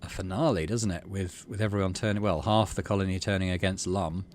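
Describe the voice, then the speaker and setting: A man's voice: low voice, steady tone, a little fast, slight background noise. A man hosting a gaming video, narrating and musing in a casual, conversational way. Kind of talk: casual playthrough commentary and narration.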